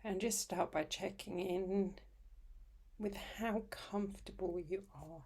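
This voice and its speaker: Very slow, hushed woman guiding meditation. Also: mid-range voice. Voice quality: soft, breathy, husky. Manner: soothing, unhurried, with long pauses between short phrases. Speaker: yoga nidra instructor. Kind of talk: guided meditation.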